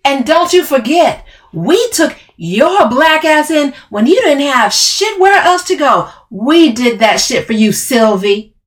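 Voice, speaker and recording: A woman's voice: a little high, animated, slow, pitched above the performer's own voice. A woman voicing an overbearing, confrontational woman in dramatic audiobook dialogue, emphatic and bossy. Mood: furious, bellowing. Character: Camille, overbearing, furious, taunting woman, bossy cousin.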